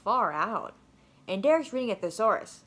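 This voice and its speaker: A woman reading a children's storybook aloud with playful, expressive narration. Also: medium pitch, normal speed. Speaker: storybook reader.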